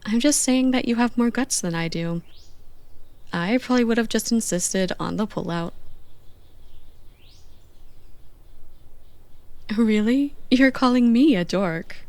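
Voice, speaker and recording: A woman playing a playful, bossy young girlfriend on a slow morning in an intimate, conversational ASMR roleplay. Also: mid-range voice, darkish tone, dry recording, lightly acted. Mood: playful teasing.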